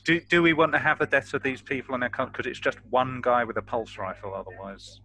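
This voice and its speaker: A man's voice: medium pitch, fairly even intonation, fast talker, sharp tone, low-quality recording. A man chatting casually as a tabletop game player, questioning and a little hesitant.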